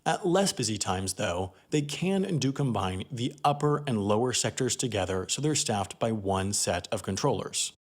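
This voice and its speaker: A man's voice: lowish voice, animated, moderate pace, few pauses. A man narrating a scripted explainer documentary as its host, explaining things with emphatic phrasing. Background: background music.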